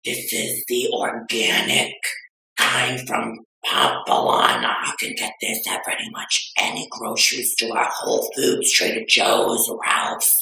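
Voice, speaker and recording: A man voicing a vain, bawdy aging beauty vlogger woman who claims to be twenty-two, with a breathy, whispery drawl and gossipy theatrical delivery. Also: mid-range voice, sing-song, slow, pushed and bright, full character voice. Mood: chatty. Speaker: makeup tutorial vlogger. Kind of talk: comedic makeup tutorial. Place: small decorated room indoors. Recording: echoey room.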